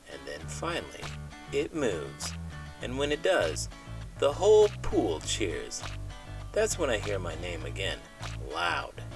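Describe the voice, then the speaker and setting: A man reading a children's storybook aloud with animated, dramatic emphasis, in the manner of an elementary school teacher. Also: lowish voice, vocal fry. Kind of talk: expressive storybook read-aloud with puppet banter.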